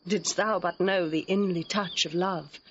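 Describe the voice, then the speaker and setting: A woman's voice: fairly low pitch, fairly even intonation, unhurried, slightly rough, dull audio. An actress playing a headstrong, playful young noblewoman in love, speaking Shakespearean verse with ardent lyricism in an audio drama. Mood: yearning, pleading.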